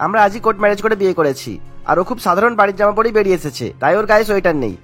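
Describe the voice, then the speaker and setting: A man voicing a young newlywed husband in a cartoon, hurried and placating as the family peacemaker. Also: high-pitched, lively intonation, a bit bright, soft background music. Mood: urgent, pleading. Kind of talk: Bengali cartoon voice acting.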